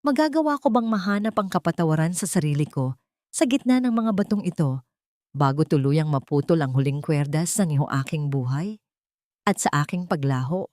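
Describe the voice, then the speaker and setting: A woman voicing a weary, wounded young musician, soft, polite and melancholy, in an audio story. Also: lowish voice, no room sound, studio quality, natural voice.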